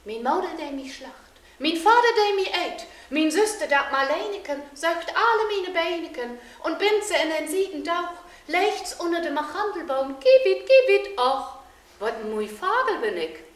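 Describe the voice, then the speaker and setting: A woman voicing a small, proud magic songbird that sings its verses high and loud and speaks its bargains firmly. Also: lively intonation, unhurried. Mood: singing. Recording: roomy echo, faint noise.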